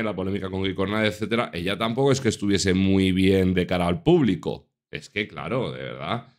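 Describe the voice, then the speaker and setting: Indignant man commentator, low voice. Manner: indignant, emphatic, rhetorical questions. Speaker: commentary youtuber. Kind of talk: reaction commentary over a TV clip. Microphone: desk microphone on a stand.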